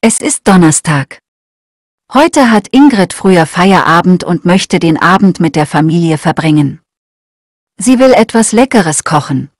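A woman reading short learner texts aloud in a slow, clear, scripted way. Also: fairly low pitch, animated, no room sound, clean studio sound. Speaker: German lesson reader.

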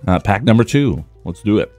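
Male card-game creator, very low mellow voice with a touch of roughness, casual unboxing commentary, music underneath. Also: expressive, a little fast. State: slightly rough voice from talking a lot. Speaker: trading card content creator.

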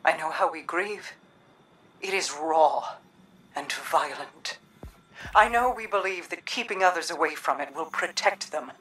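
Regal, composed game monarch, low register, stately and grave, every phrase deliberate. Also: female voice, animated, sharp tone, husky, full character voice, poor audio. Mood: earnest, compassionate. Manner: formal, measured, grave. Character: regal, solemn alien queen, composed and enduring.

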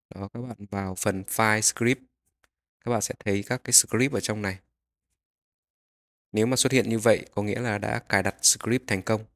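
A man narrating a step-by-step software tutorial, explaining each click as he goes. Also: on the low side.

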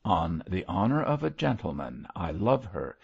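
An earnest young gentleman parson from a storybook, played by a male narrator. He speaks formally and piously, with a nervous edge and lively swings of pitch in a slightly husky voice. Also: normal speed, lo-fi sound, full character voice. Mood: solemn, earnest.

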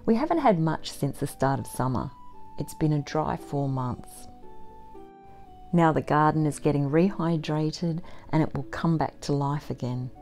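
A middle-aged woman giving a calm, measured voice-over about her homestead, with soft background music. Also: deep voice. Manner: gentle, measured and matter-of-fact. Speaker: homesteading vlogger. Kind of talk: calm scripted voice-over narration. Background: faint instrumental music.

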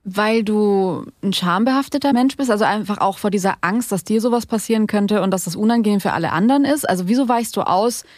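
A woman's voice: medium pitch, fast talker, hardly any pauses, darkish tone, dry recording. A woman in her late twenties interviewing casually, asking probing questions in an informal, think-aloud way. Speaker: podcast host, interviewer.

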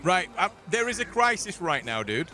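A young man playing a co-op game, excitable and joking with mock outrage. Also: sits high, normal speed. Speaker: co-op gaming YouTuber guest. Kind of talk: casual co-op gameplay chat.

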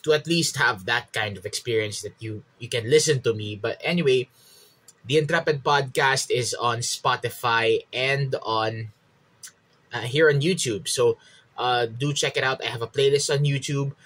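A man's voice: mid-range voice, fairly bright tone. A man in his thirties with a Filipino accent, a native Filipino speaker, explaining things casually and searching for words as he goes. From Philippines. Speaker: podcast host and radio hobbyist reviewer. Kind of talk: casual explanatory vlog, code-switching. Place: small room at home.

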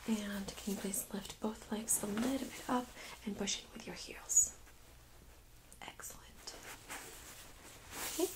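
A young woman speaking in a soft, breathy near-whisper, gently playing a medical examiner in an ASMR role-play. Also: fairly high pitch, low-quality recording. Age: young adult. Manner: gentle, unhurried, reassuring. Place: small carpeted exam room, indoors. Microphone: USB microphone on a stand nearby.

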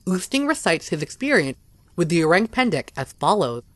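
A narrator with a lively, rising-and-falling delivery reads a scripted documentary story. The voice sits close and dry, with no room sound around it. The reading is measured and even, with no slips. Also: mid-range voice, no room sound.

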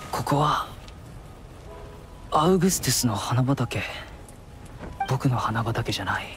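A man's voice: medium pitch, animated, slow, a bit bright, slight background noise. An actor playing a sorrowful man haunted by loss in a dramatic game scene. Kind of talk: Japanese game voice acting.

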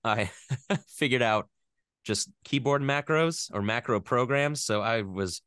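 A young American man from the West Coast talking about his work in a casual interview, rambling and animated, often laughing at himself. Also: mid-range voice, measured pace, smooth, no room sound, clean studio sound. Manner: rambling, animated, self-deprecating. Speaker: voice actor guest.

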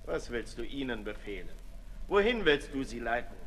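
A man's voice: medium pitch, big pitch swings, moderate pace, fairly bright tone. A man playing a cynical, disillusioned aristocrat writer in a 1960s German radio drama, theatrical and mocking. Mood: challenging, scornful. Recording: echoey hall, noisy 1960s radio recording.

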